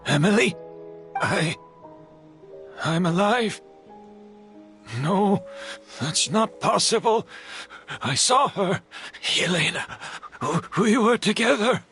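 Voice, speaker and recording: An actor playing a melancholy, obsessive perfumer in a video game, with a theatrical and deliberate manner. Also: male voice, high voice, expressive, a bit bright, soft background music, full character voice. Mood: shocked disbelief. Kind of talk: dramatic video game acting.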